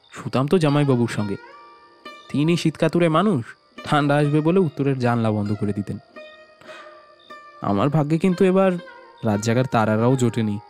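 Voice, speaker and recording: A man's voice: mid-range voice, a little mellow, natural voice. A man playing an affectionate husband who teases and chides tenderly, in audio drama style. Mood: playful, affectionate. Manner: tender, chiding, teasing. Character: affectionate, playfully teasing husband returning home. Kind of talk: Bengali audio drama acting.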